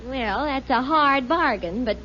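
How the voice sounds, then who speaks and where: An actress playing a sensible, cheerful young wife in a 1950 radio sitcom, sweet and lightly scolding. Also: female voice, sits high, animated, unhurried, bright, forceful tone, slight background noise, heavily acted. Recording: dull old radio broadcast.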